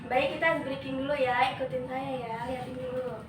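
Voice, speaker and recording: A woman's voice: sits high, flat delivery, brisk, over music. A young woman leading a semi-formal group session as a student counselor. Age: young adult. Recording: distant, echoey room with loud noise.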